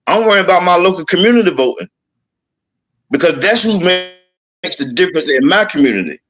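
Man guest, Southern US accent, pitch a bit high, earnest and repetitive video-call talk. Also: big pitch swings, a little fast, fairly bright tone, low-quality recording. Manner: earnest, repetitive, speaks in lists. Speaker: podcast guest. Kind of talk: testimony-style panel talk.

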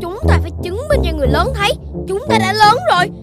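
A woman's voice: very high voice, animated, fast. A woman voicing Đốm, an eager young puppy child, in a cartoon over music. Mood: excited. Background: background music.

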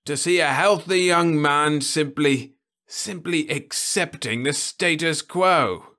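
A man's voice: on the high side, slow pace, bright, forceful tone, voice pushed up, exaggerated voice. A man doing a scornful rebellious old man. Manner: scornful, mournful.

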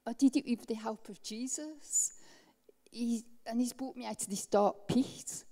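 A middle-aged woman with a warm, mellow voice shares her testimony, heartfelt and emotional, her loudness rising and falling. She speaks into a handheld mic, and a long echo hangs in the large church hall around her. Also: on the high side, a little slow. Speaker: baptism candidate. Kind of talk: personal testimony.

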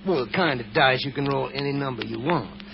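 A man's voice: mid-range voice, fairly quick, vocal fry, lightly acted. An actor playing a sly, folksy traveling salesman in an old radio drama, gabby and pleased with himself. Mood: boastful, amused. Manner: folksy, chuckling, gabby, self-satisfied. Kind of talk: 1950s radio drama acting. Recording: dull, noisy old radio recording.

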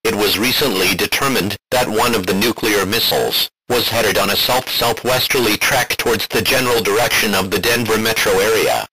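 A man's voice: lowish voice, fairly quick, hardly any pauses, a crowd in the background, rough recording. A man reading a scripted emergency alert as a broadcast announcer, formal and impersonal.

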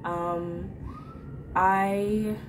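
A woman's voice: mid-range voice, level tone, extremely slow, very noisy. An eighteen-year-old Jamaican woman with a Jamaican accent, talking in a chatty, bubbly way in a self-introduction video recorded on a webcam at home. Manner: bubbly, chatty, with light laughs. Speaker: work and travel applicant. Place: small room at home, indoors. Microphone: laptop webcam mic at close range.

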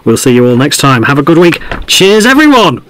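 A man's voice: on the high side, sing-song, a little fast, over a noisy crowd, low-quality recording. A man playing a chatty, jovial podcast host presenting a show within an audio drama.

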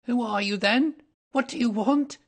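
High, whining little man. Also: moderate pace, fairly bright tone. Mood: frightened, defensive. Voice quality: whining, quavering. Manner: agitated, pleading. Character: cringing, rat-faced little man, whining and nervous. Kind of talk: audiobook character voice.

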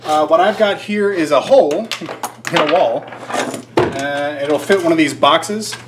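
A man's voice: on the high side, unhurried. An American man in his thirties explaining casually to camera, with rambling, wry, self-deprecating asides. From United States, Georgia. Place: indoors in a shop workroom under renovation. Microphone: camera microphone at about arm's length. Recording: faint background noise.

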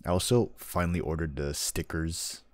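A young man streaming a hunting game, chatting in a deadpan, rambling way from his home desk. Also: deep voice, moderate pace, dry recording. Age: young adult. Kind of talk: casual chat while gaming. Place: home room, seated.

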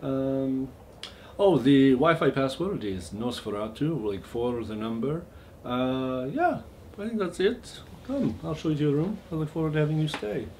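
A man playing a genteel vampire host with a mock Eastern European accent in a comic monologue, halting and overly polite, with awkward deadpan asides. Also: medium pitch, slight background noise. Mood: awkward, hospitable.

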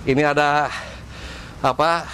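A middle-aged man with a rather high voice and a West Javan Indonesian accent hosts a casual vlog. He talks freely and with enthusiasm. The recording is outdoors, with clear ambient noise and passing vehicles around him. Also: fairly even intonation, slow pace, a bit bright. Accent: Sundanese-region Indonesian. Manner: enthusiastic, talkative. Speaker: vlog host. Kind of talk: casual on-location vlog chat. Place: open-air cafe terrace and outdoor stairs.

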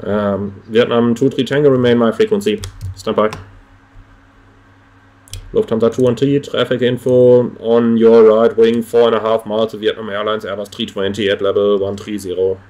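A man's voice: lowish voice, little pitch movement, moderate pace, darkish tone. A man giving clipped, procedural radio instructions as a flight simulator air traffic controller, with brief asides to viewers.

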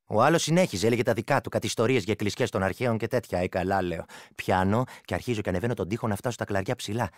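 An actor playing an earthy, irreverent village ex-soldier who spins yarns excitably in a radio play monologue. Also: male voice, on the low side, hardly any pauses, dry recording, clean studio sound, close to an everyday voice. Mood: exasperated. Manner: animated, exclamatory, earthy. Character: earthy, irritable male village ex-soldier, irreverent yarn-spinner.

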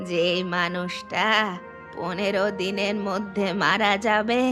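A woman voicing an aging, widowed mother-in-law in a cartoon who laments and scolds. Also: medium pitch. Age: older. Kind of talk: cartoon voice acting. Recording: studio voice with background music.

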